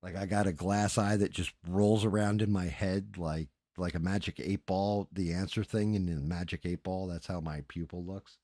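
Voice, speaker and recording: A man's voice: low voice, steady tone, moderate pace, hardly any pauses, dark tone, no room sound, clean studio sound. A middle-aged man chatting casually as a livestream host, crude and joking in a rambling, sweary way.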